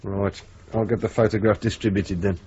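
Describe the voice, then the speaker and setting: An actor playing a deferential, slightly hesitant junior police detective in a radio drama. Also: male voice, on the low side, flat delivery, moderate pace, warm tone, breathy edge, highs cut off. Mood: tentative. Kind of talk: naturalistic radio drama acting.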